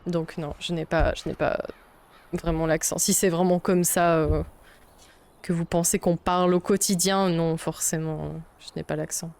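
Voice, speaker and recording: A woman's voice: on the low side, steady tone, normal speed, a little mellow. A young woman from Belgium chatting casually while she plays a video game, thinking aloud and swearing often. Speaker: streamer playing a video game. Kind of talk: casual let's-play chat. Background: faint game music.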